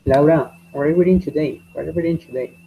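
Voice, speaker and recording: A man teaching a beginner English class. He speaks haltingly and repeats each question several times. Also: on the high side, vocal fry. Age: 20s. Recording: dull lo-fi audio with faint noise.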